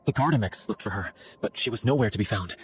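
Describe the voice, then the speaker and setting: An actor playing an excitable, earnest theatre scriptwriter in a video game, wordy and given to telling stories. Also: male voice, lowish voice, quick pace, background noise, lightly acted. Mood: grieving, bitter.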